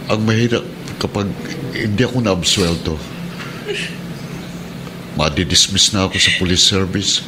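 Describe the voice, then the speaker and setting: A man's voice: deep voice, noisy background. A man playing a troubled suspended policeman and steadfast husband in a radio drama, grave and earnest. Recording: radio drama over prominent music.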